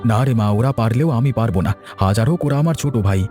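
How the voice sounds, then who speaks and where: Guy doing cartoon village big brother, talks really fast, earnest and selfless, faint music under it. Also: medium pitch, lively intonation, mellow, lightly acted. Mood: earnest, principled. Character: self-sacrificing, earnest eldest brother of a village family. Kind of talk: Bengali cartoon dubbing.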